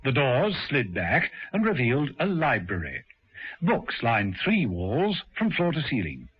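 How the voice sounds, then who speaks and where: A man narrating a radio drama in a measured, storytelling way. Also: mid-range voice, wildly swooping pitch, background noise. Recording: dull vintage radio recording with music.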